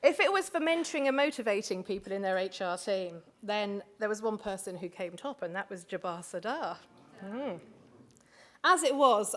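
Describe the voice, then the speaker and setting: A woman's voice: mid-range voice, fairly bright tone. A woman hosting a live awards ceremony on stage, chatty and full of asides, speaking into a microphone in a large hotel function room. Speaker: awards host.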